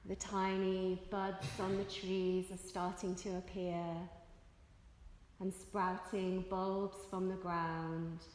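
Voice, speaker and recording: Very slow-speaking woman leading guided meditation. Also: on the low side, flat delivery, darkish tone, slight background noise. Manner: soothing, measured, with long pauses between phrases. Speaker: yoga nidra teacher leading guided relaxation. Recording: echoey room with long reverb.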